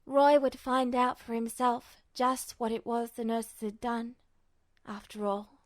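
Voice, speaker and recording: A woman with a high-pitched voice narrates a dramatic story expressively. The recording is close and dry, with very little room sound, in the manner of an audiobook. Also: fairly even intonation, unhurried, dry recording. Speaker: podfic narrator. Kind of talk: expressive scripted fiction narration.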